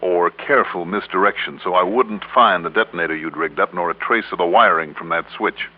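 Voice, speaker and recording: An actor playing a hard-nosed, steady insurance investigator in an old radio detective drama, speaking in a measured, probing way. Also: male voice, sits low. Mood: accusing. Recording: narrow-band old radio recording.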